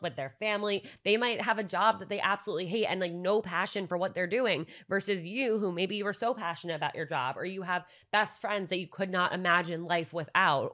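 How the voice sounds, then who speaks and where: Young woman, podcast co-host, lower-pitched, reflective, reassuring, casual. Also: a bit bright. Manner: reflective, reassuring, builds long points. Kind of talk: casual chat.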